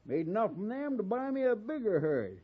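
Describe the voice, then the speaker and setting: An actor playing a loud, boastful, bullying old cattle baron in a radio Western, with a rambling drawl and an American Western accent. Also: male voice, high-pitched, sing-song, brisk, breathy edge, exaggerated voice. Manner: blustering, repeats himself, barks orders. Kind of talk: 1950s Western radio drama acting. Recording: muffled 1950s radio recording.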